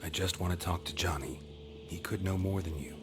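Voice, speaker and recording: An actor playing a stoic, terse monster hunter in a video game, with a low gravelly voice. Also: male voice, fairly quick, noisy background, lightly acted. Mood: gentle, questioning. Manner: terse, restrained, deadpan. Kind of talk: video game cutscene acting. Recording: loud music behind.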